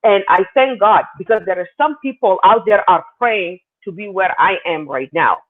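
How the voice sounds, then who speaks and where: A man's voice: high-pitched, moderate pace. A man from South Sudan, a guest in an interview, telling his story in a loose, rambling way with lots of fillers. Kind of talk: casual interview answers. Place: living in Canada. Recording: tinny phone line.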